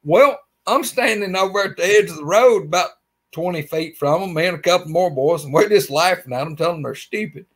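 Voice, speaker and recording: A man's voice: high voice, brisk. A man in his forties with an Alabama accent, telling a rambling story as a guest on a video call from his man cave. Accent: Southern US, Alabama. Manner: animated, rambling storyteller with lots of fillers. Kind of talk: casual storytelling interview. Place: his man cave, small indoor room. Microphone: webcam on a video call.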